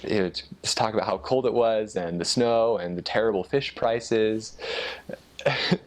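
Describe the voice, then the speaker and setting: A man with an American accent giving a casual explanation, halting a little as he thinks aloud. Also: on the low side. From United States. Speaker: former missionary explaining Norwegian dialects.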